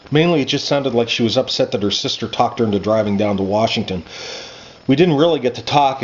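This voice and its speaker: A man, an author reading his own first-person coming-of-age fiction aloud in a conversational, expressive way. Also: medium pitch. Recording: muffled lo-fi with background noise.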